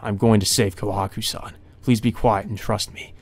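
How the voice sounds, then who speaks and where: A man voicing a polite, determined young hero in a visual novel, earnest and steady. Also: on the low side, normal speed, no echo.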